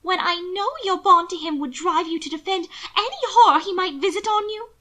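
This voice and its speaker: A woman performs a distraught sister in an audiobook drama. Her voice climbs high and breathy, thick with tears. She is fearful and suspicious, pressing every point with urgent emphasis. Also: lively intonation, normal speed, sharp tone. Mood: pleading. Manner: agitated, insistent, emphatic. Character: frightened, tearful, suspicious sister of a gentleman. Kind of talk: audiobook character dialogue.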